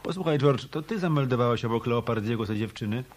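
A man's voice: mid-range voice, lively intonation, fast, darkish tone, natural voice. A man playing a dry, shrewd private eye. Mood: accusing. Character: shrewd hard-boiled male hotel detective turned private eye. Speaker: actor in a radio play. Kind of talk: Polish radio drama dialogue.

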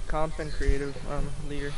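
Excitable teen boy gamer, fairly high voice, noisy line. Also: normal speed. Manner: excitable, jokey, yelps when scared. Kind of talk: casual gaming chat. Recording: loud noise on the line.

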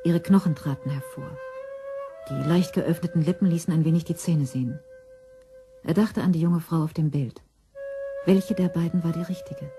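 A woman reading scripted narration for a crime radio play. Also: low-pitched.

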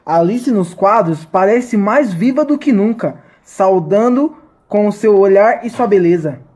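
A woman playing a gushing high-society dinner guest in an amateur radio drama, with a husky voice. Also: lively intonation, unhurried, a little mellow. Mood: admiring.

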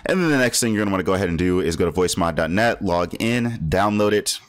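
A man hosting a casual software tutorial, talking informally and repeating words for emphasis. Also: deep voice, lively intonation, fast talker, dry recording. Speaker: tutorial host.

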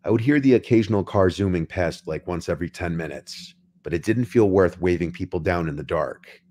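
A man narrating a scripted first-person scary story in a measured, unhurried way. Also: deep voice, expressive, a little mellow, no room sound. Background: music behind the voice.

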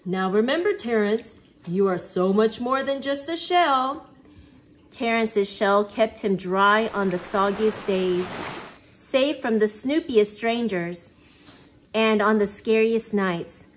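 A woman's voice: mid-range voice, expressive, slow pace, a little hiss or hum. A woman reading a children's picture book aloud expressively, acting out exclamations and sound effects. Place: living room at home.

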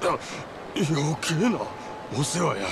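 ゲームのカットシーンで、関西弁で苦しげに絞り出すように話す、痛めつけられて怯えたチンピラを演じる男性俳優。. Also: male voice, fairly high pitch, expressive, noisy background. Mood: pained, cowed. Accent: Kansai. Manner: strained, halting. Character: beaten, frightened street thug, defiant but cowed. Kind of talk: video game cutscene acting.

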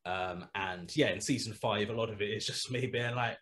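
A man's voice: fairly low pitch, moderate pace, fairly bright tone, slight background noise. A man in his thirties, the creator of a podcast, chatting on a video call through a headset, rambling and restarting his sentences. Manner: rambling, restarts often, trails off into asides. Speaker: podcast creator and writer as guest. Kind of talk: casual video-call interview. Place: small room at home, video call.

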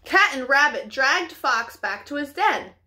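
A woman's voice: high-pitched, measured pace. A woman in her twenties reading a children's picture book expressively as a storytime host. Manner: animated, clearly paced for children. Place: living room, indoors.

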